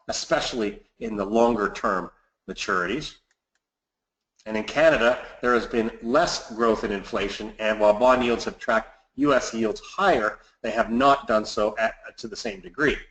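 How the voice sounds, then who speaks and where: A man's voice: mid-range voice, fairly bright tone. A man giving a scripted market commentary as a financial advisor hosting a client webinar, recorded in a roomy, echoey space.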